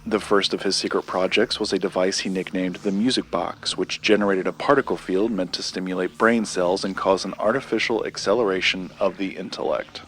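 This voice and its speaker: Low-voiced actor as a secretive storytelling collector. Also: male voice, fairly even intonation, brisk, few pauses, a bit bright, a little hiss or hum. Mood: measured, intriguing. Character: secretive male collector recounting a dark local legend. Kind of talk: audio drama storytelling.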